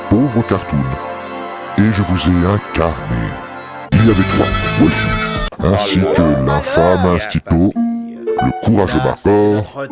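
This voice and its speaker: A man voicing a solemn artificial-intelligence mentor who recounts a tale in an animated film. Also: low-pitched. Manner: solemn, storytelling. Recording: music bed behind voice.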